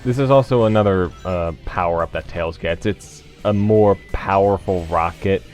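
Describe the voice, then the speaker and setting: A man giving casual video game commentary, explaining things in a hesitant, measured way. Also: deep voice, a little mellow. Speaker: host of a group video game playthrough. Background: video game music.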